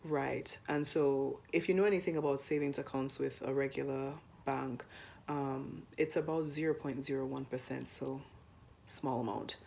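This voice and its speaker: A young woman co-hosting a personal finance chat to camera, hoarse while getting over the flu, chatty with frequent ums. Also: very deep voice, fairly even intonation, moderate pace, a little mellow, slight background noise. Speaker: co-host of a personal finance channel.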